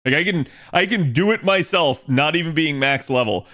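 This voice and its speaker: A man's voice: a little high, lively intonation, normal speed, pushed and bright. Streamer, male, easygoing slang-heavy chat over gameplay. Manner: laid-back, slangy, laughs at himself. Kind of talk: casual gaming stream chat.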